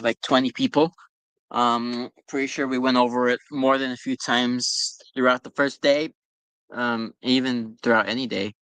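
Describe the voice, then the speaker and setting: A man's voice: lowish voice, vocal fry, pushed and bright, no room sound, rough recording. A young man chatting casually about working at a store, rambling with lots of ums and you-knows. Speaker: former pop-up store worker answering questions. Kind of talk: casual chat.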